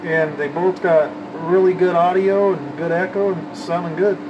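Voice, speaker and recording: A man's voice: sits high, fairly even intonation, moderate pace, highs cut off. A man chatting casually in folksy CB radio slang, as an operator showing his setup. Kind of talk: casual radio chat and commentary. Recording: loud vehicle and fan noise.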